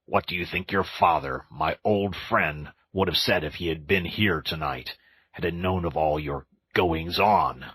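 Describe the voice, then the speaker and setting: A man voicing a stern, wise old male badger in a storybook reading, slow and grave. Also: low-pitched, fairly bright tone, breathy edge, exaggerated voice. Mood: severe.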